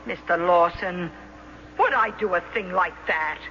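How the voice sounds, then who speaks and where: An actor playing a cocksure, insistent hustler in a radio drama, brisk, pushy and colloquial. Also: male voice, sits high, sharp tone. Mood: cocky assurance. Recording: muffled, noisy vintage radio drama recording.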